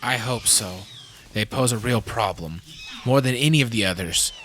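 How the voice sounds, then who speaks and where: A man's voice: medium pitch, normal speed, fairly bright tone, natural voice. A man playing a grave, worried scientist in an audio drama, speaking in a serious, matter-of-fact way. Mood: grave concern. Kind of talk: fan audio drama acting. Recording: clear background noise.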